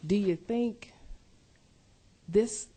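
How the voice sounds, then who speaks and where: A woman's voice: medium pitch, very slow, dark tone. A woman committee member asking a question, restating it over and over to get at her point. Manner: probing, rephrases her question repeatedly.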